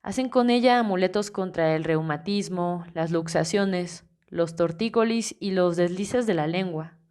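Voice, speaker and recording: A woman's voice: on the low side, measured pace, clean tone, lightly acted. A woman reading a wry old archmage. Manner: easygoing, gently teasing. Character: wise older male archmage, calm and wry. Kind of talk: audiobook character reading.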